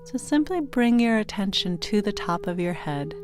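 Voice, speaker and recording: A woman narrating a guided sleep meditation in a gentle, soothing manner, giving unhurried instructions with pauses between phrases. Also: medium pitch, sing-song. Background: meditation music with singing bowl tones.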